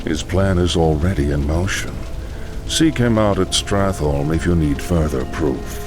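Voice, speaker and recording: An actor playing a sinister, taunting necromancer cult leader in a fantasy video game, theatrical and ominous. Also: male voice, low voice, unhurried, music in the background. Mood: smug, ominous.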